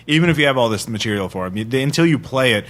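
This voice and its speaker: A man's voice: mid-range voice, animated, rapid-fire, fairly bright tone. A man hosting a podcast discussion, speaking informally and often correcting himself mid-thought. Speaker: podcast host. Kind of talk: casual discussion.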